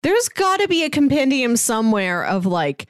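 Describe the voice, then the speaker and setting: A woman chats casually as a podcast co-host in a fairly high voice. Her intonation is very animated, and the sound is dry and close. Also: moderate pace, no room sound.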